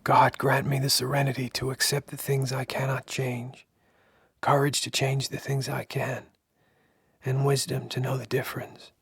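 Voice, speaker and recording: An actor in a radio drama playing a hushed, solemn man reciting a prayer in a breathy, creaky monotone. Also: mid-range voice, moderate pace, no echo, heavily acted.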